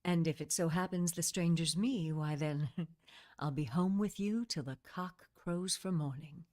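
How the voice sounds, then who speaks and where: A woman's voice: on the low side, a little slow, warm tone, natural voice. A woman playing a seductive, commanding witch in a vintage-style radio drama, teasing and imperious, with intimate asides. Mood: tender, mysterious.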